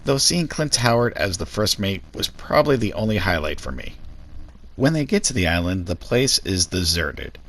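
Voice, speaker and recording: A man's voice: low-pitched, big pitch swings, moderate pace, fairly bright tone. A man hosting a scripted review video, giving opinionated, sweary and sarcastic commentary.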